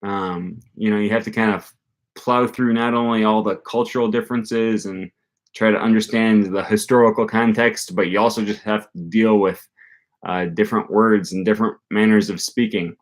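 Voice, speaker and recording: Young man, low-ish voice, casual live stream, rambling, webcam in a small room. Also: fairly even intonation, moderate pace. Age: young adult. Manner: rambling, many ums and restarts. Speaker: language learning streamer. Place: small room with bookshelf, indoors. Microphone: webcam at desk.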